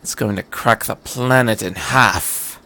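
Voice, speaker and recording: A man's voice: lowish voice, slow, bright, forceful tone, full character voice. A man voicing an intense, eccentric alien scientist hero in a fan story, with a grim, urgent and emphatic manner. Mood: grim warning.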